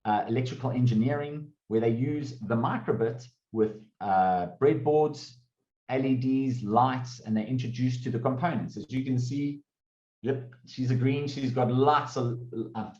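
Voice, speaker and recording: A man's voice: mid-range voice, a little mellow. Guy presenting on a video call, roomy echo, kinda muffled, casual and upbeat. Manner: casual and upbeat, with fillers and restarts. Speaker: presenter of a coding course. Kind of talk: online open day presentation.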